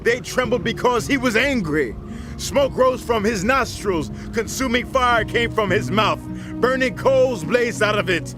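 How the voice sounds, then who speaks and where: A man playing a devout warrior king, reciting a psalm of praise in measured, poetic declamation for a dramatized scripture reading. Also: very high voice, lively intonation, over music. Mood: awed, solemn. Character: reverent warrior king singing praise to God.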